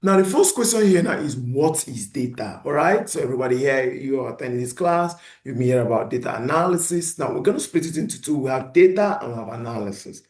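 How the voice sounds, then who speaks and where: A man's voice: medium pitch, fast, hardly any pauses, roomy sound. A man lecturing beginners, often asking a question and then answering it himself. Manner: animated teacher who poses questions and answers them himself. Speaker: instructor.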